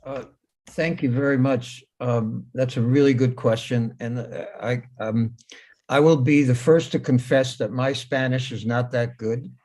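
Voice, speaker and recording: A man's voice: mid-range voice, fairly even intonation, a little slow, darkish tone. A man in his seventies whose first language is Hebrew, talking casually over a video call, plain-spoken and wry, often repeating his words. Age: 70s. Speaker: speaker at a talk. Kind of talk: casual talk.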